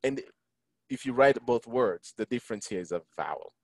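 A man teaching an online Quran lesson, engaging his listeners and reciting Arabic verses quickly. English is not his first language. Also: mid-range voice, lively intonation, no echo. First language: neither Arabic, English nor French. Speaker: imam teaching a Quran class. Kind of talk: online Quran lesson over a video call. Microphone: video call.

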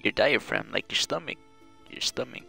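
A woman's voice: very deep voice, moderate pace, gravelly, sharp tone, husky, no room sound, faint music behind. A woman streamer chatting casually and playfully bossing her friend around during a game stream. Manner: playful, bossy, coaching. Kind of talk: casual chat while gaming.

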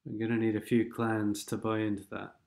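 A man's voice: lowish voice, little pitch movement, a little fast, vocal fry, a little mellow. A man with a stuffed-up nose from a cold, giving sardonic, rambling commentary over game replays. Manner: sardonic, rambling, sings snatches of songs. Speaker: gaming commentator.

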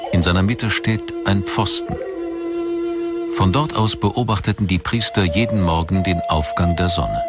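A man delivering scripted documentary narration in a measured, formal storytelling manner. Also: low-pitched. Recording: music bed under voice. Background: background music.

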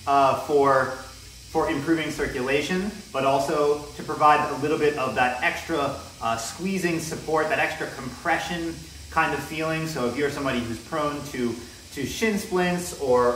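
Young male reviewer, casual and unhurried with ums, bare echoey room, faint hiss. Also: fairly high pitch. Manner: relaxed, with frequent ums and restarts. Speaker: product reviewer. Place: bare indoor room with wooden floor. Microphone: camera mic, near then from a distance.

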